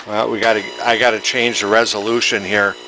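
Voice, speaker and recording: A man's voice: on the low side, level tone, a little fast, background noise. A man giving casual, hands-on repair commentary as a technician, plain-spoken and technical, thinking aloud as he works. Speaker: electronics repair technician and video host.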